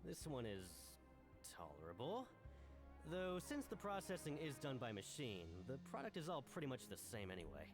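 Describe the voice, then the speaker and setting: A man's voice: mid-range voice, huge pitch swings, normal speed, music in the background. An actor voicing a stern, condescending prison factory foreman in a video game, giving orders in a bossy manner. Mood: grudging.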